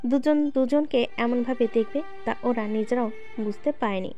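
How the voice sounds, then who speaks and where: Woman narrator, fairly high voice, chatty asides to listeners, faint music behind. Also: normal speed, mellow, dull audio. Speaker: story narrator. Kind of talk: storytelling narration addressing listeners. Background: faint background music.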